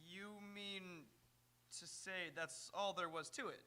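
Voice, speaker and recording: A young man playing a stuffy, hesitant fiancé, stiff and halting, in live radio theatre. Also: sits high, steady tone, pushed and bright, slight background noise, heavily acted. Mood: affronted.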